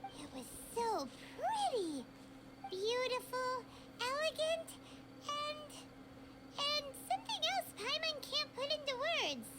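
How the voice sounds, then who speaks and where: An excitable little anime game fairy, squeaky and childlike. Her pitch is extremely high and swings around in an animated way. She sounds sweet and earnest, over loud background ambience. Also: slow pace, background noise, exaggerated voice. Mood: awed. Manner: refers to herself in the third person, earnest. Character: tiny childlike female floating fairy companion, excitable and sweet. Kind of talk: anime video game voice acting.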